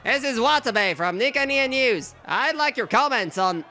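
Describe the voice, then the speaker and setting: A man voicing a pushy news reporter in a story, loudly and insistently throwing questions. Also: extremely high pitch, lively intonation, moderate pace, soft background music, pitched above the performer's own voice, heavily acted. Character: pushy, shouting news reporters crowding a crime scene.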